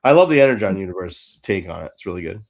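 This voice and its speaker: A man's voice: sits low, expressive, fast talker, vocal fry, darkish tone. A man hosting a live stream, talking in a casual, rambling and opinionated way about fan interests.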